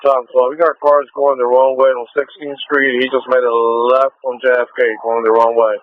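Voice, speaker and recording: A man's voice: mid-range voice, level tone, normal speed, no room sound, phone-quality sound. A man working as a police officer, calling in reports over a two-way radio on a scanner feed.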